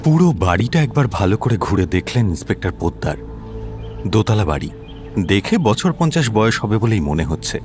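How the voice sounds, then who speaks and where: A man's voice: lowish voice, sing-song, moderate pace, a little mellow, faint music behind. A man narrating a scripted detective story as its narrator.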